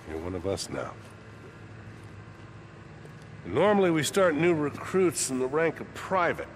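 An older American colonel from a video game, devout and grandiose. His pitch moves in broad, lively sweeps as he speaks like a fatherly orator, over clear background noise. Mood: paternal. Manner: measured, paternal, pompous oratory. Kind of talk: video game dramatic acting.

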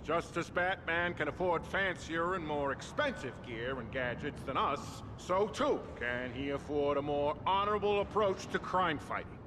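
An actor playing a bossy, self-important vigilante leader in a video game, briefing in a pompous, mock-military way. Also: male voice, on the high side, noisy background, natural voice. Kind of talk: video game cutscene acting.

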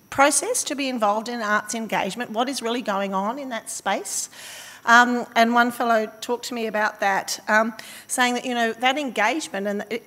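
An Australian woman with a slightly croaky voice giving a conference talk, thoughtful and halting with fillers and restarts. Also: mid-range voice, bright, forceful tone. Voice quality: slightly hoarse. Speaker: conference speaker. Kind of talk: academic presentation. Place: conference hall. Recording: reverberant conference hall.

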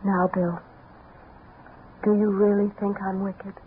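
An actress playing a calm, secretive femme fatale in a 1950s radio drama, measured and enigmatic. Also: female voice, medium pitch, a little hiss or hum, close to an everyday voice. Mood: soft pleading. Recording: tinny 1950s radio recording.

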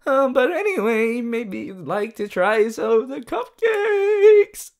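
Woman acting as teenage anime hero boy, ASMR roleplay, eager, affectionate, playful gushing, very animated intonation, unhurried pace. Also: fairly high pitch. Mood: eager, coaxing. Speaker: voice actor in a fan character audio. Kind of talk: ASMR roleplay addressed to the listener.

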